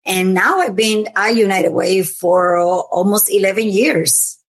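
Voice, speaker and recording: A woman's voice: medium pitch, unhurried. A woman from the Dominican Republic, a nonprofit program officer, answering interview questions earnestly with many ums and uhs.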